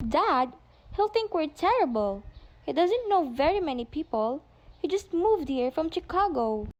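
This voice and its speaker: A boy-crazy teenage daughter played by a woman in an amateur radio play. Her voice sits high and she takes her lines slowly. She pleads and dramatizes like someone whose social life depends on it. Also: sing-song, darkish tone, highs cut off. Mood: distressed, sulky. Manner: pleading, melodramatic.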